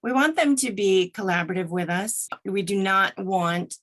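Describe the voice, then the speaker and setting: A woman with a mid-range voice, speaking as a guest in an interview. She phrases her answers deliberately and articulately. The style is conversational, that of a narrator discussing her work. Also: mid-range voice, animated, a bit bright.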